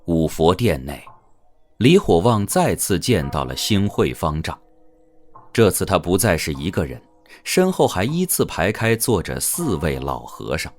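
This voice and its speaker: A male audiobook narrator with a deep voice. He tells the story dramatically, with lively inflection and faint music underneath. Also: measured pace.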